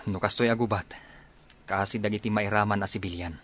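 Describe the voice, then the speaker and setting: Low-voiced, troubled aid worker, acted by a man. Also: fairly even intonation, a little fast, fairly bright tone. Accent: Ilocano. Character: compassionate, troubled war-zone aid worker. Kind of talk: Ilocano radio drama acting. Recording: faint background noise and ambience.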